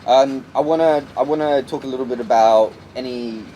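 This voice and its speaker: A man hosting a casual interview, informal and slangy, quick to agree and affirm. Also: medium pitch, dark tone, dull audio. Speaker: interview host. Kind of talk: casual chat. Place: outdoors on an urban rooftop. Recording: faint outdoor city noise.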